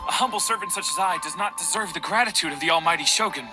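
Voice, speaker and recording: An actor playing a humble, eager servant in a video game, speaking with formal, obsequious reverence. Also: male voice, sits high, fast, music in the background, close to an everyday voice. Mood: reverent, humble. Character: humble, deferential male servant, eager to please. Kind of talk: video game character dialogue.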